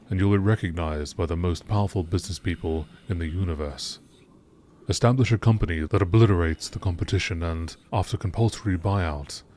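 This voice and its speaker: A man reading scripted text aloud in a measured nature documentary narration style. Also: deep voice, darkish tone, a little hiss or hum.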